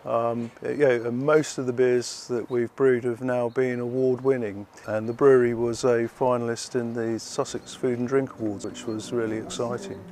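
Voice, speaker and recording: Brewery man, flat even delivery, measured with fillers, faint hum behind. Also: mid-range voice. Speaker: brewery staff. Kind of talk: interview.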